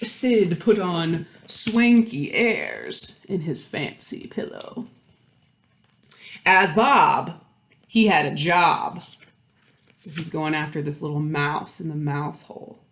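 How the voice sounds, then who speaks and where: A woman reading a picture book aloud to young children, expressive, with questions and asides to her listeners. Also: a little high. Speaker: children's storytime host and story reader. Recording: roomy, echoey indoor sound.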